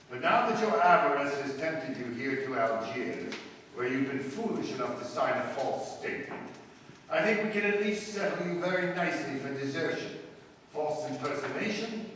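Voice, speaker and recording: Guy playing a shrewd formal detective in a radio play, slightly high voice, very echoey and far from the mic. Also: fairly bright tone. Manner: formal, assured, precise. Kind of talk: old-time radio drama acting. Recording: echoey, distant, noisy radio play recording.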